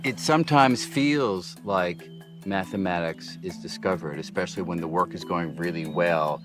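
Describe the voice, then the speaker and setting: Older man reflecting calmly outdoors. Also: low-pitched, normal speed, music in the background. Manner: calm, reflective explaining. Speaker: interviewed physicist. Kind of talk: documentary interview. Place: outdoors in woods.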